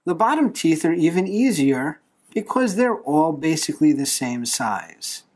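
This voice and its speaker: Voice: mid-range voice, sing-song, unhurried, a little mellow. A tutorial host talking through a drawing step by step in a playful, encouraging manner. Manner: playful, light humour, encouraging.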